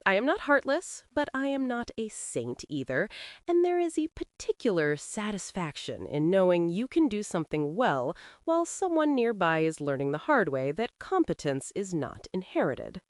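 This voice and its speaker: A woman narrating a first-person western audio drama as a proud, capable frontier ranch woman, with a dry, wry, measured delivery. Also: medium pitch, very animated, no echo.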